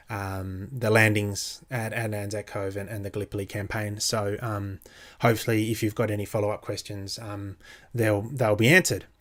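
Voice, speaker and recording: A man's voice: on the low side, fairly bright tone. A man hosting a history podcast, casual and hesitant with many fillers and repeated words. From Australia. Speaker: podcast host. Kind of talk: casual podcast intro and interview.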